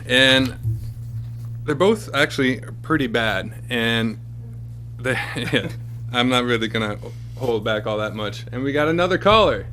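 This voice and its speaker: Co-host, male, mid pitch, relaxed chatty delivery. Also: a little slow, slight background noise. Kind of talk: casual chat on a public access show.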